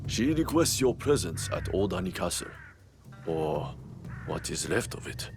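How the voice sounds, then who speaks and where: An actor playing a sober messenger bringing grim news in feudal Japan, formal and grave, in a video game cutscene. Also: male voice, low-pitched, big pitch swings, measured pace, vocal fry, music in the background, heavily acted.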